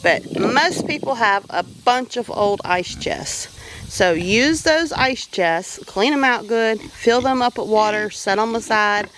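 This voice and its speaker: A woman's voice: a little high, expressive, slow, a bit bright, slight background noise, poor audio. A woman with a Southern accent talking casually and practically about her homestead while walking outdoors. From Louisiana. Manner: down-to-earth, folksy, practical. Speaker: homesteader vlogger. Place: outdoors on a farm.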